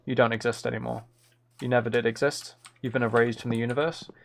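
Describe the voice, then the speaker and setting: A man's voice: mid-range voice, level tone, quick pace. A man chatting casually to viewers as a live game streamer, with a dry, joking, mock-serious manner. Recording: clear noise, keyboard and mouse clicks.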